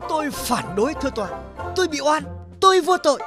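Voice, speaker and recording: Man as a high-voiced cocky cartoon celebrity. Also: very animated, fast talker, music in the background. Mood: self-important. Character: cocky celebrity defendant protesting innocence in cartoon court. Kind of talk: cartoon comedy acting.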